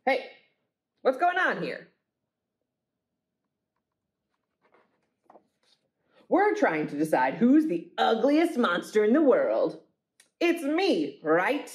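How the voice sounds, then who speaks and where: A woman's voice: lowish voice, a little mellow. A woman voicing boastful, silly storybook monsters, exclaiming and drawing out words for emphasis. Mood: bickering. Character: boastful silly picture-book monsters competing to be ugliest. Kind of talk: storybook read-aloud.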